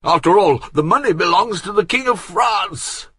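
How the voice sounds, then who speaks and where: A man's voice: high voice, expressive, normal speed, sharp tone, husky, no echo, studio quality, voice pushed up, heavily acted. A man voicing a fat, fussy older nobleman with a head cold, groaning and complaining. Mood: grumbling.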